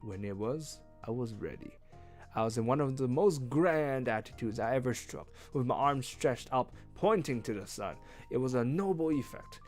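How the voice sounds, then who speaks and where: A man reading a story aloud as host of a read-along, with expressive narration and casual asides. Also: mid-range voice, normal speed. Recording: music behind.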